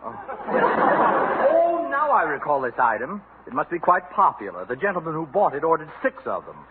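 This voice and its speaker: A man's voice: fairly high pitch, wildly swooping pitch, moderate pace, crowd noise. An actor playing a prim, fussy male department store clerk in a 1950s radio comedy. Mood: earnest. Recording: narrow, noisy 1950s radio, studio audience.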